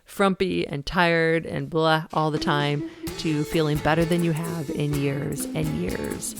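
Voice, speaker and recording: A woman's voice: sits low, steady tone, takes it slowly, gravelly, over loud music. A middle-aged woman hosting a fitness podcast, talking in a chatty, emphatic way and laughing often. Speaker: podcast host and fitness coach. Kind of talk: casual motivational talk.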